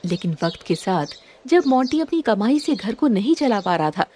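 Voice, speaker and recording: A woman's voice: mid-range voice, big pitch swings, normal speed, mellow. A woman reading a scripted moral story as its narrator, with music under her voice.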